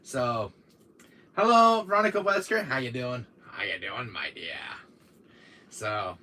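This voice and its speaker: A man with a deep voice hosting a casual gaming live stream, rambling with many fillers while talking to his chat, tired from a cold. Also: lively intonation, measured pace, sharp tone. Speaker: gaming channel host.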